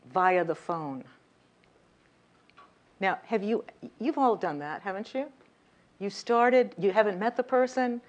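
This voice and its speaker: A woman with a slightly high voice giving a lively lecture on communication, often turning to the audience with questions. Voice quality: voice a little high, by her own account. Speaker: communication workshop speaker. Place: lecture room.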